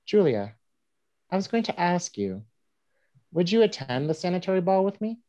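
A man in a play reading, voicing a gentle, besotted newspaperman of the Old West. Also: sits high, very animated, moderate pace, highs cut off. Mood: fond. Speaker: actor in an online staged reading. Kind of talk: scripted stage play reading. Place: indoors at home, on a group video call.

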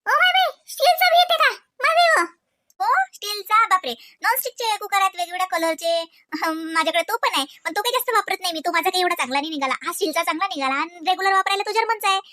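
Casting: an actor as a fussy, chatty cartoon village woman, rural Varhadi Marathi accent, rapid bright delivery, nagging colloquial manner. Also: extremely high pitch, expressive, full character voice. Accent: rural Varhadi Marathi, Vidarbha. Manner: colloquial, nagging, repeats questioning tags. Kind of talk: comedy cartoon dialogue in rural household chat.

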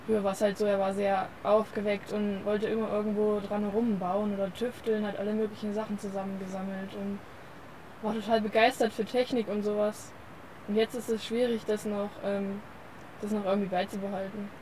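A woman's voice: mid-range voice, little pitch movement, fairly quick, warm tone. A young woman answering casually as an interview guest, matter-of-fact and a little hesitant. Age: young adult. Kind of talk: casual chat. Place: outdoors on a park bench by a forest. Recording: noisy outdoor recording.

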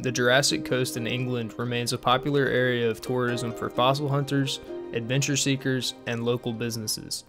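Young man narrating over faint music. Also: mid-range voice. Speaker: documentary narrator. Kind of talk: scripted documentary narration. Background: soft plucked guitar music.

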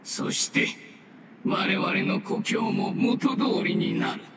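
An actor playing an aged, dying mushroom spirit in a game, solemn and humble, with grave, halting, old-fashioned elder speech. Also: male voice, high voice, heavy background noise. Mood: grave, explaining. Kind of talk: scripted game dialogue. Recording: roomy echo, distant, under loud music.

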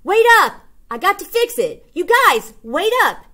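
A woman's voice: extremely high pitch, big pitch swings, a little slow, pushed and bright, pitched above the performer's own voice, full character voice. A woman voicing an eager, candy-crazed young boy in a storybook, exclaiming and pleading. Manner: exclaiming, emphatic.

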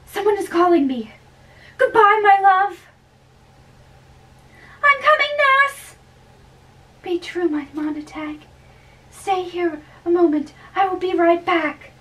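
A woman playing a lovestruck, anxious young maiden in an amateur stage-play reading, theatrical and halting, recorded from a distance. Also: extremely high pitch, expressive, a bit bright, voice pushed up, exaggerated voice. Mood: excited, hurried. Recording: distant mic with background hiss.

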